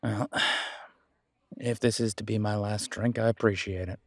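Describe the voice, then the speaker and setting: Man acting a husky, wary, sardonic mechanic. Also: on the low side, steady tone, measured pace, a little mellow, no echo. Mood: wary, resigned. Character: wary, sardonic male werewolf mechanic, blunt but polite. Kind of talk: audio roleplay drama dialogue.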